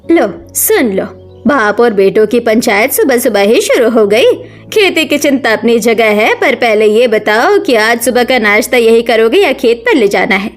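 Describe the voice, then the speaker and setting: A woman's voice: on the high side, lively intonation, moderate pace, soft background music, close to an everyday voice. A woman voicing an older village mother-in-law, blunt and grumbling, in a cartoon story. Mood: scolding. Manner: blunt, scolding.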